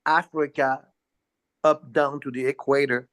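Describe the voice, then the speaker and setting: A man's voice: mid-range voice, flat delivery, measured pace, a bit bright. An older man from the south of France with a French accent, chatting as an interview guest in a rambling, repetitive way. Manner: rambling, repetitive, peppered with "you know". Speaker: interview guest, jazz bassist. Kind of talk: casual reminiscing in an interview.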